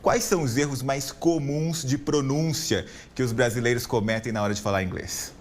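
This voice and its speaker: A man's voice: mid-range voice, fairly even intonation, slight background noise. Man anchoring a TV news interview. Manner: measured, formal questions with light banter.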